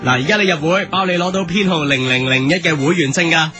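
A man's voice: fairly high pitch, quick pace, over music, natural voice. A man playing a boastful, chatty sound-effects performer in a comic Hong Kong Cantonese radio sketch. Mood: chirpy, boastful. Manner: chatty, self-promoting. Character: boastful, chirpy male TV sound-effects performer greeting fans.

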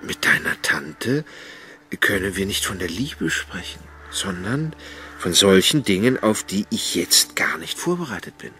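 An actor in a radio play, playing an anxious, indolent, melancholy gentleman in love, hesitant and sighing. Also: sits low, lively intonation, unhurried, faint music behind, full character voice. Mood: uneasy.

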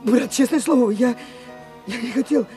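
An actor in an audio drama playing an unstable, panicky younger brother who stammers and repeats himself frantically. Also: male voice, very high-pitched, moderate pace, mellow, soft background music. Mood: horrified remorse.

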